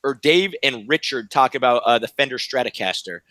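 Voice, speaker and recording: A man's voice: mid-range voice, expressive, brisk, fairly bright tone, no echo. A man hosting a livestream, joking and cursing in casual chat.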